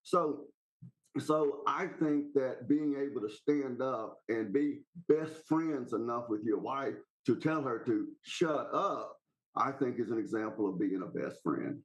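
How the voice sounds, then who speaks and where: A man's voice: medium pitch, a little slow, muffled recording. Blunt folksy Southern man. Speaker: podcast caller. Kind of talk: casual opinionated chat.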